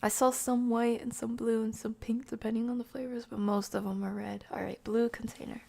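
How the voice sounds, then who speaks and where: A woman live streamer chatting casually with viewers, soft-spoken and often slipping into a breathy near-whisper. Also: a little high, little pitch movement, a little fast. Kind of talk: casual livestream chat with viewers.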